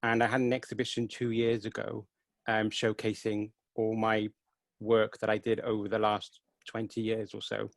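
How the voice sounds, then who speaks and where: A man's voice: fairly low pitch, monotone, moderate pace, vocal fry, no room sound. A man from Wales in his thirties, answering questions about his photography in an earnest, enthusiastic way over a video call. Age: 30s. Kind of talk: casual interview answers. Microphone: headset.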